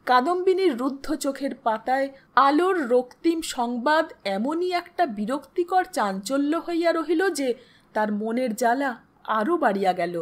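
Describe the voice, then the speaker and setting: A woman reading a literary story aloud as a narrator, in a measured, expressive and dramatic manner. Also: high-pitched.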